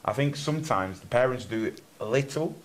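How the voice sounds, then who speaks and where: A man's voice: medium pitch, animated, brisk. A young man from Germany interviewing churchgoers in a loose, rambling way, restarting his questions often.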